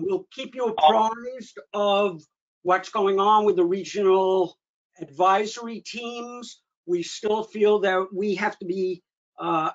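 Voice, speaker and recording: A man hosting an online meeting, giving informal updates in a halting way with frequent pauses mid-sentence. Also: high-pitched. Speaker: meeting host, association official giving updates. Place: remote video meeting. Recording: dull lo-fi online meeting audio.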